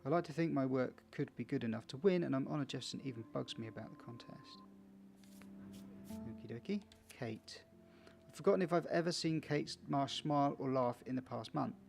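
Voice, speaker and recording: A man's voice: medium pitch, brisk, creaky, a little mellow, faint music behind. A man playing a video game for viewers, casually reading its text aloud with small stumbles and chatty asides. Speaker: video game let's player.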